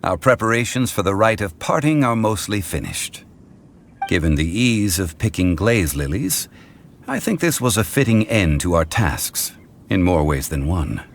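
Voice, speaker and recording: A man voicing a calm, wise male consultant who speaks in a measured, formal way, in a game scene. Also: deep voice, creaky, a little hiss or hum, full character voice. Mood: satisfied. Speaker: consultant to a funeral parlor. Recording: game audio with music behind.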